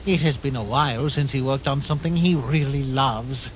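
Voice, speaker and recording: A man voicing a friendly, proud, innocent skeleton in an animated comic dub, theatrical and earnest. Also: medium pitch, normal speed, a little hiss or hum, natural voice.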